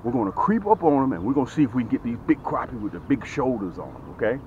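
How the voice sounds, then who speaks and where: A man's voice: medium pitch, quick pace, narrow, tinny audio. Casual middle-aged guy filming himself by the lake, hyped sales talk, keeps saying okay and guys, a bit of outdoor noise behind him. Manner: animated, repeats "okay?" and "guys", gestures with hands. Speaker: fishing vlogger and tackle seller. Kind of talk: energetic sales pitch and fishing vlog. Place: outdoors at a lakeshore. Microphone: camera held close to the face.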